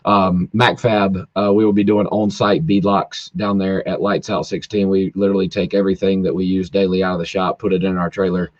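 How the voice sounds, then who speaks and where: A hoarse man talks shop about racing in a loose, rambling way, his sentences restarting as he goes. His voice sits low and the call audio is dull and lo-fi, with a dry sound and no room around it. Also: little pitch movement, a little fast, few pauses, dry recording. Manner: rambling, with many fillers and restarts. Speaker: drag racer guest. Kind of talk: casual podcast chat. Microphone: video call, home internet.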